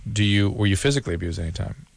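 A man hosting a call-in radio show, asking quick, probing clinical questions and often restarting his sentences. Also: on the low side, lively intonation, vocal fry, no echo. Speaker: radio host.

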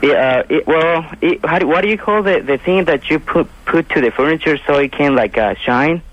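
A man's voice: fairly high pitch, no room sound, lo-fi sound. Hesitant young male caller from Mexico. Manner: halting, hesitant, many fillers.

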